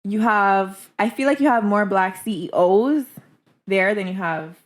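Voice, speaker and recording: A woman's voice: medium pitch, measured pace. Playful young woman podcast co-host. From Canada. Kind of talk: casual podcast chat. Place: small living room studio. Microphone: desk studio mic.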